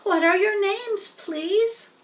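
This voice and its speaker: A woman voicing a gentle young male pig in a storybook, tenderhearted, with a polite, heartfelt and rather formal manner. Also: extremely high pitch, takes it slowly, far from the mic, pitched above the performer's own voice. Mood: hopeful, eager.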